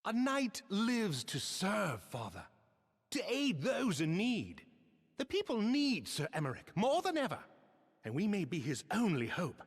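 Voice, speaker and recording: Fervent, husky gallant knight, echoing hall. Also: male voice, high-pitched, wildly swooping pitch, unhurried, exaggerated voice. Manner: fervent, declamatory. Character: idealistic, gallant knight, a devoted son. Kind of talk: formal archaic fantasy game dialogue. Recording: long hall reverb.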